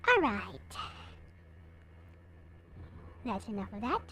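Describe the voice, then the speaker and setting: A woman's voice: medium pitch, big pitch swings, slow pace, fairly bright tone, slight background noise. A woman hosting a livestream, chatting casually to viewers and replying to their comments. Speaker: livestream host. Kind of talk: casual livestream chat showing collectible figures.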